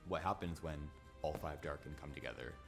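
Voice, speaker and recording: A man's voice: rumbling low, brisk, creaky. A man talking about a game's story in a documentary-style interview. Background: music.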